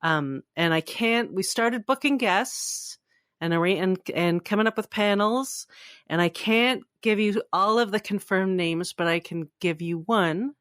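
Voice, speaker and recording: A woman's voice: medium pitch, unhurried, a bit bright, dry recording, studio quality. A woman co-hosting a fan podcast, chatting informally and with enthusiasm. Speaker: podcast co-host. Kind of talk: casual fan podcast chat.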